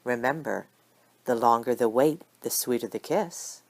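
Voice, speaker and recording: A woman reading the part of a confident, devoted female corporate lawyer in an audiobook, measured and earnest. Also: a bass voice, voice pushed down, heavily acted. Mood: tender.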